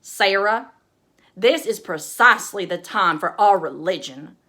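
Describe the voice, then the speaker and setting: A woman's voice: medium pitch, lively intonation, measured pace, bright, forceful tone, pitched below the performer's own voice. A woman voicing her devout, commanding Southern debutante mother with a thick Texas accent. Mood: firm. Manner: commanding, matter-of-fact.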